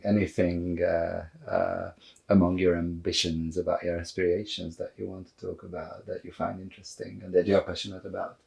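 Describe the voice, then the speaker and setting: Very deep-voiced, halting male podcast host. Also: gravelly, warm tone. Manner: halting, repeats words while forming sentences. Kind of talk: conversational interviewing.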